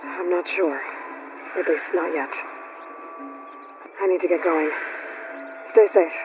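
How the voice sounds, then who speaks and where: Guarded young heroine, muffled game audio. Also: female voice, on the low side, fairly even intonation, slow pace, background noise. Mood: calm, concerned. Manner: terse, earnest. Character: determined, guarded young woman warrior hero. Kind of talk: cinematic video game acting. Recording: dull lo-fi audio with music behind.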